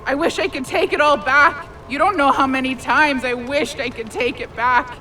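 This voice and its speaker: A woman's voice: high voice, moderate pace, sharp tone, slight background noise, low-quality recording. An actress in an audio drama playing a remorseful, caring young woman who talks earnestly and plainly, with a wry streak.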